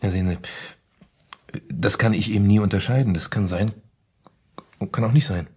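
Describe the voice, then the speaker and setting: An actor playing a timid, embarrassed husband full of suspicion in a radio play, speaking in a halting, awkward, secretive way. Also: male voice, lowish voice, a little mellow, breathy edge. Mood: unsure, sheepish. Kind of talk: German radio play acting.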